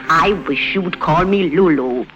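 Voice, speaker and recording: A woman's voice: deep voice, unhurried. An actress playing a cool, scheming female accomplice in a 1950s radio drama. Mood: coy, cool. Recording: muffled old radio broadcast, faint music.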